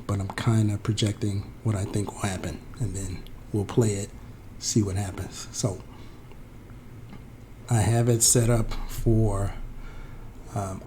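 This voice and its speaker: A man with a cold-roughened, hoarse voice explaining an options trade in a halting way, full of fillers and restarts. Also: on the low side, mellow, slight background noise. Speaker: trading tutorial presenter. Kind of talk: unscripted screen-share explanation.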